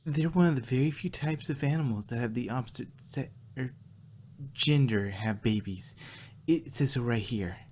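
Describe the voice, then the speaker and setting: A woman's voice: very deep voice, normal speed, a little mellow, slight background noise. A woman voicing a flustered, exasperated young female pony scholar in a fan fiction reading. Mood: exasperated, lecturing.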